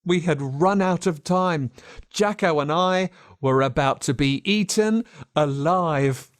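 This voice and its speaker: An Australian man reading a story aloud as a dramatic narrator, expressive and measured with telling pauses. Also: on the high side, no room sound, studio quality. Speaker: audiobook narrator. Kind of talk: dramatic scripted storytelling in first person.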